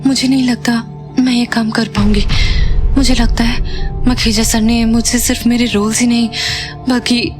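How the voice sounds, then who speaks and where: Female lead, acted, audio drama: earnest, anxious young woman, slightly high voice, expressive, music underneath. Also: moderate pace, close to an everyday voice. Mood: dejected. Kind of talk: Hindi audio drama acting.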